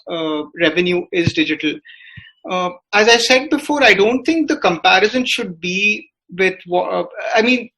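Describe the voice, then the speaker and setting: A man with an Indian accent giving analytical podcast commentary as a business reporter, in a hesitant, halting way with many filler words. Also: high voice, pushed and bright, rough recording.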